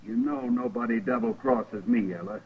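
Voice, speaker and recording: A man playing a menacing, hot-tempered outlaw leader in a radio western, rough and threatening in speech. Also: medium pitch, huge pitch swings, moderate pace, exaggerated voice. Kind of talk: 1950s radio western acting. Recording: echoey, muffled, noisy radio recording.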